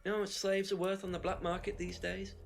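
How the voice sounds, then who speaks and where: An actor playing an agitated, smug conspiracy theorist in a mystery video game, emphatic and know-it-all. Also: male voice, high voice, little pitch movement, quick pace, gravelly, a little hiss or hum. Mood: intense, insistent. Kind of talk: FMV mystery game acting.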